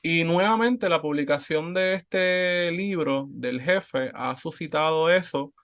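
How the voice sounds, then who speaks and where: A man hosting a podcast interview, speaking earnestly and at a measured rate, with frequent fillers. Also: on the high side, fairly bright tone, clear, resonant tone. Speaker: podcast host. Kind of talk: conversational interview.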